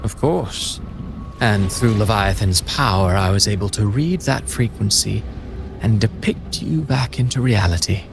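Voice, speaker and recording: An actor playing a cold, grandiose mastermind in a video game, a man making measured, pompous pronouncements. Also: fairly low pitch, creaky. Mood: smug, composed. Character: cold, grandiose male mastermind villain, self-styled playwright. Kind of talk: dramatic video game voice acting. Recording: music behind the voice.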